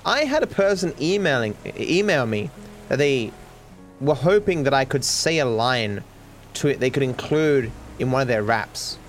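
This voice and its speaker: Man, cultivated Australian accent, tired, rambling casual Q&A, halting fillers, faint music underneath. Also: mid-range voice, animated. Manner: musing, trailing off with fillers and restarts. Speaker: streamer answering viewer questions.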